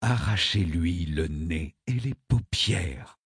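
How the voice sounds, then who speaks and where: Man voicing a slow, contemptuous inhuman counselor. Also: very deep voice, big pitch swings, darkish tone, breathy edge, no echo, studio quality, exaggerated voice. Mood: cold menace. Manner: slow, sarcastic, disdainful delivery. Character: cruel, contemptuous inhuman male counselor, coldly superior. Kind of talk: audiobook character acting.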